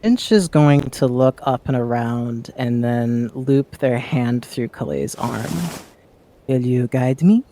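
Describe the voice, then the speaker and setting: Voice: mid-range voice, takes it slowly, dark tone, a little hiss or hum. A player with an androgynous voice, casually roleplaying in a tabletop fantasy game.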